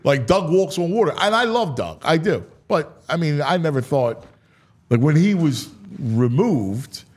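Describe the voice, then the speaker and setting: An American man bantering as a sports talk host. His pitch sits a little high and swings widely as he talks, and he often doubles back on phrases for emphasis. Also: very animated, unhurried, husky.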